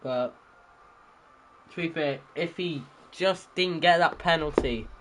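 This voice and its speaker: A man's voice: fairly high pitch, takes it slowly, background noise. Man with a cold hosting a stream. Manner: rambling, unsure, many restarts. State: has a cold, a bit congested. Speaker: stream host. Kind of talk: casual chat.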